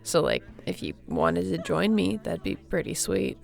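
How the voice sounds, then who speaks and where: A woman playing a friendly, easygoing young surfer girl in an audio roleplay, chatting casually and welcomingly with a creaky voice and laid-back slang. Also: on the low side, huge pitch swings, normal speed, faint music behind, exaggerated voice. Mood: upbeat.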